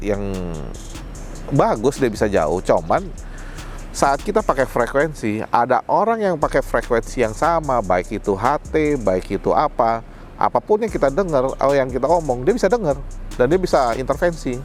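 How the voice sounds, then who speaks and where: A man's voice: mid-range voice, big pitch swings, normal speed, faint music behind. A man giving a casual product demonstration, explaining things colloquially and speaking directly to viewers. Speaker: product presenter.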